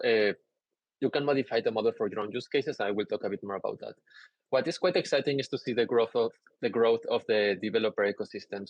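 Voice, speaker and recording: A young man from Peru giving a conference talk over slides on a video call, relaxed and explanatory with many "uh" fillers. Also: on the low side, no echo, highs cut off. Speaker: conference speaker. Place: indoor room, on a video call.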